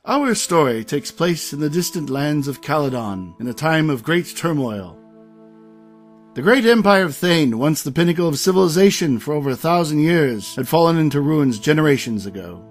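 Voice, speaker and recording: Man as lively fantasy storyteller over music. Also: on the high side, moderate pace. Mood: measured, grand. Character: storyteller introducing a high fantasy tale. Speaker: narrator. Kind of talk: scripted fantasy audio drama narration. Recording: music under the voice.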